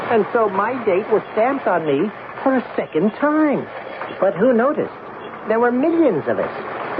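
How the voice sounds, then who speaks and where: A chatty little coin narrates its own life in a 1970s radio drama, musing and asking itself questions. The voice is fairly high and lively, heard through a narrow, tinny broadcast with music. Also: male voice, noisy background. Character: talking Mercury dime telling its life story, chatty.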